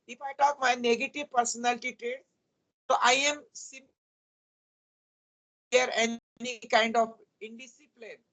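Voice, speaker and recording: A man's voice: extremely high pitch, sharp tone, rough recording. A man from Uttarakhand with an Indian accent, a native Hindi speaker, talking politely and formally as the guest in an English practice chat. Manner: polite and formal, often says "sir".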